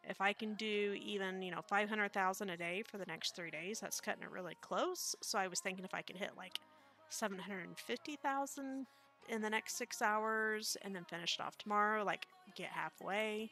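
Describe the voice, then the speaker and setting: Bright-voiced Midwestern woman streamer. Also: mid-range voice, normal speed, faint music behind. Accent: Midwestern American. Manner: chatty, rambling, many fillers. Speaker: game streamer. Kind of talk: casual live-stream commentary.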